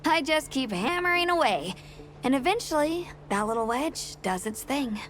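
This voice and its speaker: A woman's voice: high-pitched, sing-song, normal speed, a bit bright, slight background noise. An actress voicing a hearty woman smith in a game cutscene, folksy and encouraging. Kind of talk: video game cutscene acting. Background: game score music.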